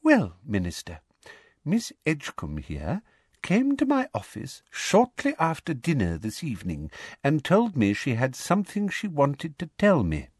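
A man voicing a smug female official in an audiobook, soft and condescending with a falsely kind manner. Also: fairly high pitch, wildly swooping pitch, unhurried, husky, no echo, studio quality, pitched above the performer's own voice, full character voice. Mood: smug, reporting. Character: silky, smug, sickly-sweet middle-aged female official.

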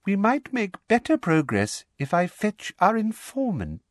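A man voicing a smug female official in an audiobook, soft and condescending with a falsely kind manner. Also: a little high, huge pitch swings, moderate pace, no echo, studio quality, pitched above the performer's own voice. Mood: silky, falsely soothing. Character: silky, smug, sickly-sweet middle-aged female official.

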